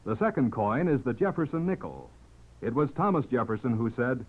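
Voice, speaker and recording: A man reading an earnest scripted public service message in 1950s radio style. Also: mid-range voice. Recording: narrow-band vintage radio recording.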